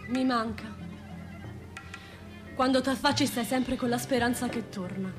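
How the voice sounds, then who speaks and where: Actress as a high-pitched, sorrowful widow. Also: female voice, a little slow, natural voice. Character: indignant, sorrowful widow of a worker. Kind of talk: Italian theatrical radio drama. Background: music.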